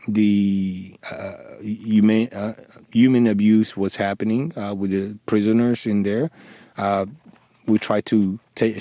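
Dominican guy w thick spanish accent, low monotone, lots of restarts, dry sound. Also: dry recording. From Dominican Republic. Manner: halting, frequent restarts and fillers, earnest. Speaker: interview guest, former police sergeant. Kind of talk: radio interview.

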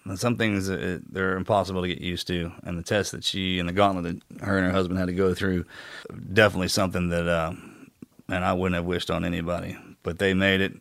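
Very deep-voiced man, earnest and halting. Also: little pitch movement, gravelly, fairly bright tone, no room sound, clean studio sound. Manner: earnest, halting, with many restarts. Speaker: podcast co-host, former Navy SEAL. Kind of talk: casual podcast introduction.

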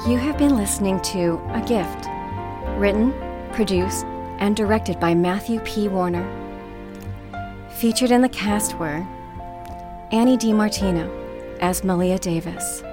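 A woman with a medium-pitched voice reads scripted credits as an announcer. Music plays clearly behind her.

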